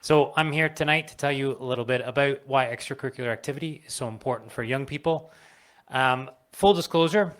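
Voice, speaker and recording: A man's voice: mid-range voice, fairly quick, a bit bright, no echo. Canadian man telling a personal story. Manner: nervous but candid, conversational, walking around while speaking. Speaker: speaker at an industry event. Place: event venue, in front of a live audience.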